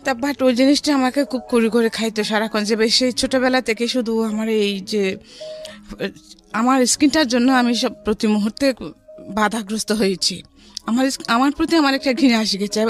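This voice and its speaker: A middle-aged woman with a Bangladeshi accent telling her life story in a conversational way, mixing in some English words. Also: fairly high pitch. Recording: music behind the voice.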